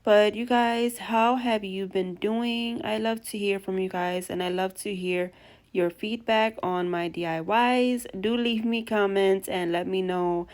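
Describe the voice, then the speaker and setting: A young woman with a stuffy nose from allergies, chatting warmly through a DIY craft tutorial and gushing to her viewers. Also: mid-range voice, fairly even intonation, measured pace. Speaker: craft vlogger.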